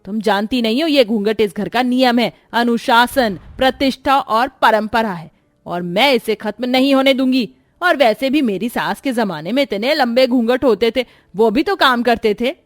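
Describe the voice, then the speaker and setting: A strict, middle-aged mother-in-law of a cartoon family tale, acted by a woman, scolds and lectures in a fairly high voice. She is indignant and domineering. The sound is dry, with soft music behind. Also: moderate pace, no echo, close to an everyday voice. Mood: stern, threatening. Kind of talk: Hindi moral-story cartoon voice acting.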